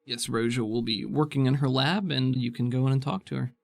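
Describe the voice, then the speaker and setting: A man's voice: medium pitch, steady tone, a little fast, vocal fry, noisy background. A man working as game master, narrating an improvised tabletop game in a descriptive, conversational way.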